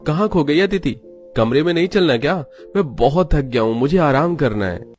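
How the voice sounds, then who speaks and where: A man's voice: a little high, lively intonation, moderate pace, darkish tone, soft background music, close to an everyday voice. A man voicing an earnest, devoted young husband in a cartoon story. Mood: tired. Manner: earnest, pleading.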